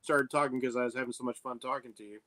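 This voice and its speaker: A man's voice: medium pitch, fairly even intonation, racing, sharp tone. Guy cohost, mid voice, rambly friendly questions with lots of uh and like. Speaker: podcast co-host. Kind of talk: casual interview chat.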